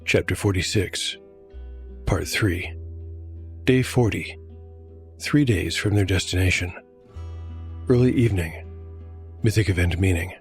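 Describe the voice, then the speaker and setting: A man reading scripted dark fantasy narration in a measured storytelling manner. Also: low voice. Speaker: narrator and host. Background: music.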